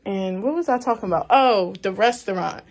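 A young woman in her twenties chatting casually to her phone camera, rambling with fillers. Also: medium pitch. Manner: slow, relaxed, rambling with fillers. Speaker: vlogger. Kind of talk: casual vlog chat. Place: small cabin rental indoors. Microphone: phone held at arm's length.

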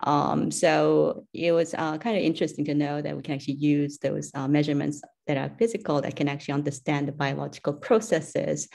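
A woman's voice: sits low, steady tone, brisk, creaky, few pauses, a little mellow. A middle-aged woman from South Korea whose first language is Korean, a neuroscience professor speaking as a guest in a casual interview over a video call, thoughtful, with many "um"s and light laughs. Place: home room, indoors.

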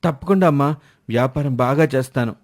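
A man acts out a young son full of ambition in a storybook tale. His mid-pitched voice is earnest and eager, with a wheedling, pleading manner. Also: fairly quick, a little mellow, natural voice. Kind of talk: animated moral story dialogue.

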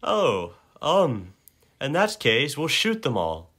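A man playing a laid-back, overconfident rebel adventurer in an improvised game fandub, with a casual, hesitant, deadpan delivery. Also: medium pitch, slow, breathy edge, full character voice. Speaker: amateur fan-dub voice actor.

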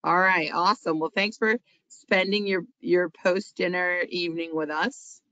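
A woman hosting and introducing a webinar over a video call, friendly and brisk. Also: on the low side, fairly even intonation, bright, forceful tone, no room sound. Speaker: webinar host. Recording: dry, slightly dull video-call audio.

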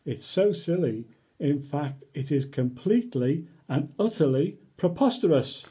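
A man reads a comic children's story aloud in a dark, mellow voice that swings widely in pitch. He takes his time, with theatrical expression and mock-reluctant asides. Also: lively intonation, unhurried.

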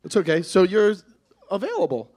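A man's voice: high-pitched, huge pitch swings, moderate pace, a little mellow. A man in his late thirties doing stand-up crowd work into a handheld mic on a club stage, brash, profane and teasing. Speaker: stand-up comedian. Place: comedy club stage before a seated audience. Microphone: handheld microphone.

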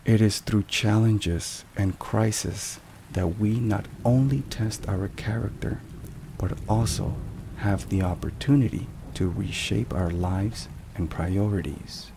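A man narrating scripted religious ASMR in a deliberate, measured way. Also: on the low side, vocal fry, background noise. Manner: slow, deliberate, measured phrasing. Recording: steady rain and thunderstorm sounds behind. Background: rain and thunder.

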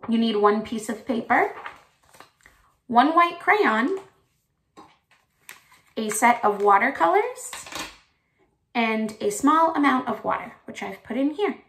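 A woman in her thirties with a fairly high voice. She talks slowly and deliberately, as a children's teacher guiding young viewers. She is recorded indoors at home, a short way from the camera. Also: a bit bright. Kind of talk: instructional craft video and read-aloud for children. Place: small home room, indoors.

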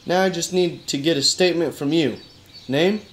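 Man playing deadpan hard-boiled private eye. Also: a little high, moderate pace, slight background noise, natural voice. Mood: dry, probing. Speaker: detective and narrator. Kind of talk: noir detective radio play.

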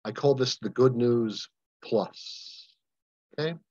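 An older man, a pastor leading a Bible study over a video call, preaching with emphatic, impassioned delivery and long pauses. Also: medium pitch, a little mellow, slightly rough, no room sound. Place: home room, indoors. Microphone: webcam on a video call. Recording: dull lo-fi webcam audio, dry.

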